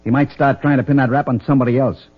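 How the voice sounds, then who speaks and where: An actor playing a pushy, cynical American hoodlum in an old radio crime drama, curt, slangy and bossy. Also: male voice, mid-range voice, fairly even intonation, fast, husky, natural voice. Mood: hard, urgent. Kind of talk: mid-century radio crime drama acting. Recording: muffled, dull old radio recording.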